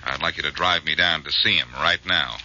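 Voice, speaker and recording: An American actor playing a cool, self-assured, wisecracking amateur detective in an old-time radio drama. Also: male voice, extremely low pitch, brisk, loud music behind the voice. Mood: teasing, confident. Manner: glib, self-assured, teasing. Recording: noisy, muffled vintage radio recording.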